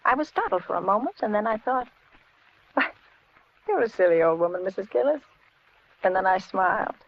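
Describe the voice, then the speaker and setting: An actress playing a fussy, kindly, stubborn older landlady in a chatty, expressive 1940s radio style. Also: mid-range voice, fairly quick. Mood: reminiscing. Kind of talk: 1940s radio acting, first-person narration. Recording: noisy, muffled 1940s radio recording.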